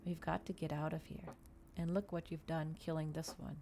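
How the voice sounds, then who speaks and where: A woman's voice: sits low, flat delivery, moderate pace, vocal fry, music in the background. A woman reading the part of a cold, impatient, bitter male vampire, curt and demanding, in an ASMR storybook reading.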